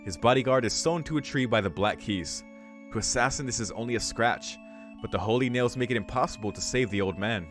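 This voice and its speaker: A man reading a visual novel's story aloud for a let's play, breaking into casual, excitable reactions with some swearing. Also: mid-range voice, a little fast. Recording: music behind the voice.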